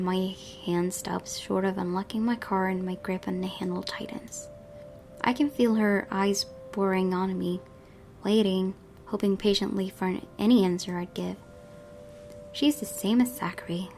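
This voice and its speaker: A woman's voice: medium pitch, a little mellow, slight background noise. A woman hosting a gaming video, reading visual novel narration expressively and adding casual asides.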